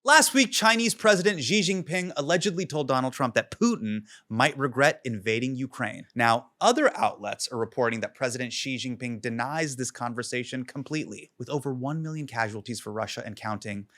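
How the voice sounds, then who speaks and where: Quick-witted comedic host, man in his forties. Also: medium pitch, expressive, sharp tone, no echo. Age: 40s. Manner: quick, playful, punchline-driven delivery. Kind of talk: comedic monologue and interview.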